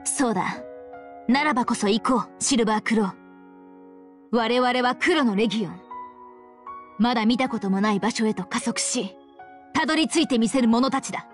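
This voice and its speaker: A woman playing a composed, commanding young female leader in an anime visual novel game, with measured, formal, authoritative speech. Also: on the high side, natural voice. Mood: calm, resolute. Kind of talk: Japanese visual novel acting and narration. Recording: background music under the voice.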